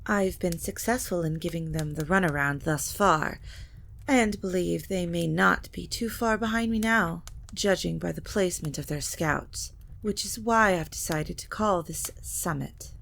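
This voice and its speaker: Woman acting a low-voiced, formal vampire matriarch. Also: slight background noise. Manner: measured, formal, theatrically grave delivery. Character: ancient vampire matriarch, scheming scholarly mother of grown children. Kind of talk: scripted audio drama acting.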